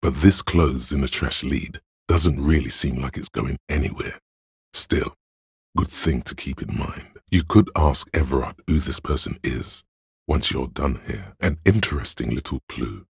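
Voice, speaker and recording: Super deep raspy inner logic voice for a video game, measured n analytical, really close dry sound. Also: male voice, animated, dry recording, heavily acted. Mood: measured, knowing. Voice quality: gravelly, husky. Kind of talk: video game voice-over narration.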